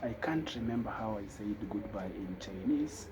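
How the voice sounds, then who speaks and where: A man teaching a casual spoken lesson, talking slowly and thinking aloud with fillers. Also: fairly low pitch, expressive, background noise. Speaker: language teacher.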